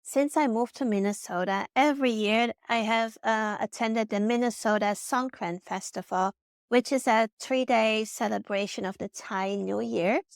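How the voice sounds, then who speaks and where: A woman's voice: fairly high pitch, moderate pace, creaky, clean tone, dry recording, studio quality. A woman from the Netherlands with a Dutch accent, narrating a personal vlog in an earnest, reflective way. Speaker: vlogger.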